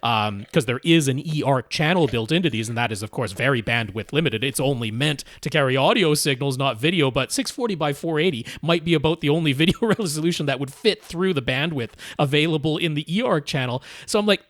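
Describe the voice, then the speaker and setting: A man's voice: fairly high pitch, brisk, hardly any pauses, fairly bright tone, no room sound. A man hosting a podcast, explaining gear problems in a casual, explanatory way and quoting people in asides. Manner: explanatory, quotes people in asides, frequent restarts. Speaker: podcast host giving home theater advice.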